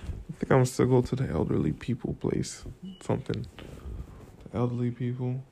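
A man's voice: medium pitch, steady tone, fairly quick, creaky, warm tone, a little hiss or hum, low-quality recording. A young man from Florida with a groggy morning voice, rambling casually and profanely about work and money. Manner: loose, profane, drifts between stories and life lessons. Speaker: vlogger.

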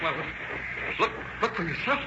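An actor playing an excitable, slow-witted firefighter in a 1930s radio drama, stammering and repeating himself. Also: male voice, a little high. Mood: excited, alarmed. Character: excitable, slow-witted male firefighter reporting to his chief. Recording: dull, echoey old radio recording, faint noise.

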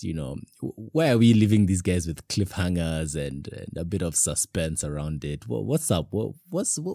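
A man hosts a casual podcast. His voice is very deep and sounds dry and close. He talks in a loose, chatty way and fills gaps with "you know.". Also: dark tone, dry recording. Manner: chatty, with many "you know" fillers and restarts. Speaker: podcast host.

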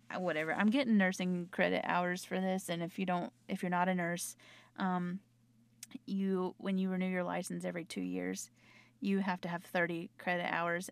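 A young woman with a North Carolina Southern accent, chatting casually and rambling, her voice slightly hoarse. She is a nurse talking into her own microphone in a small closet at home. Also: fairly low pitch, dry recording. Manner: rambling, chatty, self-interrupting. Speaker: nurse and podcaster. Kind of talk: casual late-night chat.

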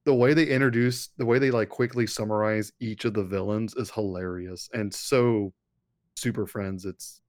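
A man co-hosting a casual, conversational review of an animated series. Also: fairly low pitch, normal speed. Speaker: podcast co-host.

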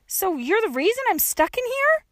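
A woman's voice: extremely high pitch, animated, brisk, dry recording, studio quality, voice pushed up. A woman voicing a desperate, exasperated young girl, pleading urgently and emphatically in an audiobook.

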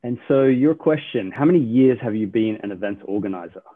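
A man's voice: lowish voice, expressive, fairly quick. A man hosting a game-style podcast, upbeat as he explains the rules and asks his guests questions. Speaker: podcast host. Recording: tinny phone-like line.

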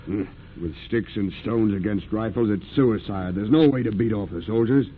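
A man acting a gruff, doubting ship's mate, blunt and skeptical, in a 1940s radio drama. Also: lowish voice, normal speed. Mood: skeptical, indignant. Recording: muffled, noisy vintage radio drama recording.

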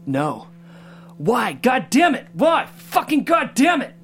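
A man's voice: very high voice, wildly swooping pitch, slow pace, a bit bright, voice pushed up, full character voice. A man voicing a vain, volatile, desperate bodybuilder in a comedic script read, intense and profane. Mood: furious despair.

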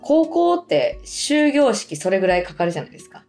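A woman with a Kansai accent chatting casually as co-host of a radio show, telling stories in an animated way. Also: mid-range voice, fairly quick, creaky, soft background music. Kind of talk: casual chat.

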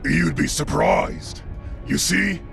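Swaggering, gravelly cartoon villain boss. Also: male voice, a little high, slow pace, fairly bright tone, soft background music, heavily acted. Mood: smug, scheming. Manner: taunting, theatrical. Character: swaggering, mocking male villain boss, theatrical and scheming.

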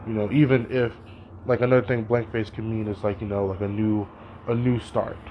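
A man's voice: fairly low pitch, little pitch movement, brisk, muffled recording, slight background noise. A young man talking through his thoughts as a student, hesitant and thinking aloud, with many "you know" fillers. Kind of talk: spoken reading reflection.

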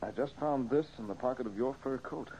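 An earnest sleuth played by a man with a dark, mid-pitched voice, pressing and concerned. It is 1940s radio mystery acting on a dull recording with faint noise. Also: a little fast, lightly acted. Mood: grave, concerned. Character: earnest, urgent male amateur detective protecting his friend.

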